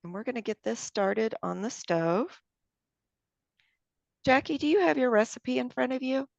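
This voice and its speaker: A woman leads a cooking demonstration and talks each step through as she works. Her voice has a gravelly, fry-tinged edge. The sound is close and dry, slightly dull, as if through a basic mic. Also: a little high, normal speed, no echo. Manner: friendly, explains each step aloud while working. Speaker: cooking class instructor.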